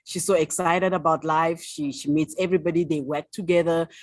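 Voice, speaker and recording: South African woman in her twenties, upbeat guest author, low-ish pitch, dry recording. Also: steady tone, a little fast, no echo. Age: 20s. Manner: enthusiastic, upbeat, laughs easily. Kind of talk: casual interview chat.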